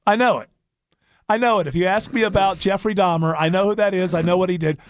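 A man's voice: sits high, fast. Joking radio host man. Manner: joking and opinionated. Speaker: radio talk show host. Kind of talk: casual radio talk.

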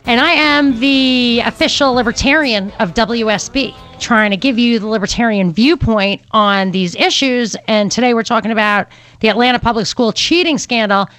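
A woman's voice: a little high, normal speed, a bit bright, faint music behind. A woman hosting a talk radio show, giving her views in a conversational, opinionated way with fillers as she thinks aloud. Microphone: studio microphone.

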